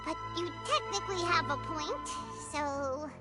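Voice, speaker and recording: A tiny excitable fairy girl from an anime game, speaks in a squeaky, extremely high voice that swoops up and down. She is chirpy and exclamatory, with music playing prominently behind her. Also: a little slow. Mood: doubtful. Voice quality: squeaky, childlike. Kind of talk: anime video game voice acting.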